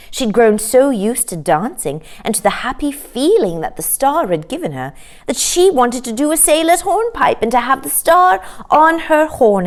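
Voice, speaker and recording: A woman storyteller narrating a children's story in an expressive read-aloud style. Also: on the high side.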